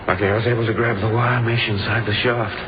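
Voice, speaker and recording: An actor playing a trapped, freezing businessman in a radio thriller, talking to himself in a halting, weakening, despairing way. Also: fairly low pitch, lightly acted. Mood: grim musing. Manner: halting, brooding monologue broken by desperate outbursts. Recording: muffled lo-fi audio, clearly noisy.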